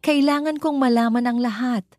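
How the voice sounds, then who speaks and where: A woman's voice: a little high, steady tone, normal speed, a little mellow, no echo, faint music behind, pitched above the performer's own voice, natural voice. A woman voicing a troubled, determined male company heir in an audio story, weary but commanding and earnest. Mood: weary, resolute.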